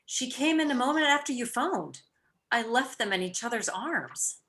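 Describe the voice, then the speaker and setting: A woman's voice: on the high side, lively intonation, normal speed, fairly bright tone. A woman playing a clever, arch young socialite wife in a vintage-style radio play. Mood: brisk, knowing.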